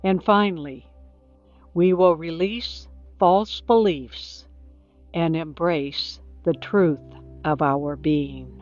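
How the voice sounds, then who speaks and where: A woman leading a scripted guided meditation in deliberate short phrases with pauses between them. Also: sits low, a little mellow. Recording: slightly dull, lo-fi recording with music. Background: background music.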